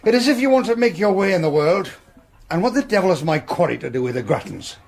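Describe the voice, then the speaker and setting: An actor playing a blunt, domineering middle-aged quarry owner in a period radio drama, sardonic and suspicious, with an English accent. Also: male voice, high voice, very animated, quick pace, gravelly, exaggerated voice. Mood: combative, contemptuous. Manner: brusque, sardonic, forceful.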